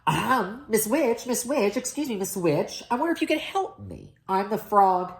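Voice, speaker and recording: A woman's voice: mid-range voice, sing-song, moderate pace, creaky, slight background noise, voice pushed up. A woman voicing a hapless young storybook prince, earnest, polite and flustered, often pleading. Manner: polite, flustered, repeats himself.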